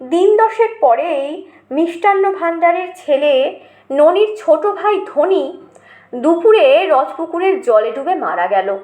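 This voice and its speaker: High-voiced woman storyteller, echoing sound. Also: lively intonation, takes it slowly, darkish tone. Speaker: storyteller, audiobook narrator. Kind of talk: scripted literary narration of a childhood memoir. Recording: roomy, echoing voice recording.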